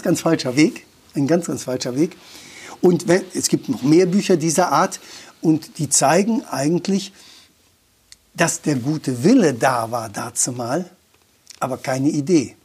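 Guy with a slightly raspy, higher voice, explaining with conviction. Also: measured pace, pauses often, a little mellow. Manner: thoughtful, opinionated, restarts often. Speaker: dialect expert guest. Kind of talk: conversational explanation.

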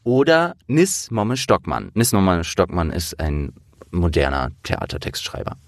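Male interviewer, low register, casual conversational delivery, dry sound. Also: very animated, measured pace, dry recording. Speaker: interviewer and host; trained voice actor.

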